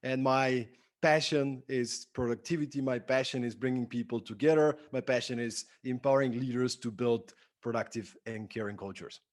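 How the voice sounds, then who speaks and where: A man's voice: medium pitch, normal speed, few pauses. A man from Bulgaria answering conversationally as an interview guest, with an enthusiastic manner and emphatic phrasing. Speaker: podcast guest, entrepreneur and productivity speaker.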